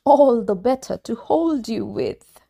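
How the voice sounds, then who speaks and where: Woman reader as a big bad wolf: sly, slow, mellow, wheedling storybook villain. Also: fairly high pitch, very animated, voice pushed up, exaggerated voice. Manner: smooth, coaxing and sly. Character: hungry, cunning big male wolf.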